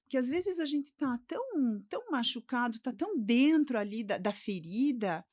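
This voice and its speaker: A middle-aged woman's voice, a little high for her sex, recorded dry with almost no room sound. She is a podcast guest from Brazil telling her own story thoughtfully, often tagging her sentences with "né?". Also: very animated, moderate pace, darkish tone, dry recording. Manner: reflective, often ending with "né?". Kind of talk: personal storytelling.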